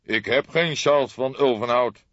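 A man's voice: medium pitch, moderate pace, fairly bright tone, voice pushed up, lightly acted. A man voicing a confused, defensive police desk sergeant in an audiobook. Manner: confused, emphatic. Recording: dull, roomy audiobook recording.

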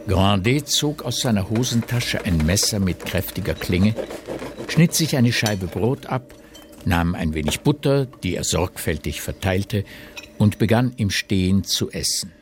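A man's voice: on the low side, animated, unhurried, background noise. A man narrating a literary radio drama.

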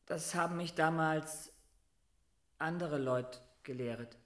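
Young captive peasant boy, echoey room, highish bright voice, naive, earnest, plain-spoken, radio drama. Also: takes it slowly. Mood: earnest, defensive. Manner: simple, earnest answers. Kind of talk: radio play acting.

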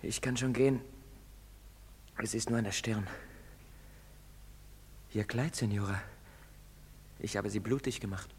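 A man's voice: fairly low pitch, measured pace, long pauses, darkish tone, slight background noise. An actor playing a wounded, embittered young man, defensive and agitated, in theatrical stage drama style. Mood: subdued. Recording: reverberant theatre stage recording.

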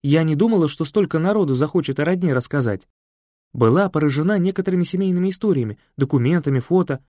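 Guy doing a talkative kindly village lady, lifts his voice up, very animated. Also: a little high, quick pace, no room sound, clean studio sound, pitched above the performer's own voice. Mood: chatty. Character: chatty, kindly village woman, a priest's daughter. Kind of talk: audiobook character voice.

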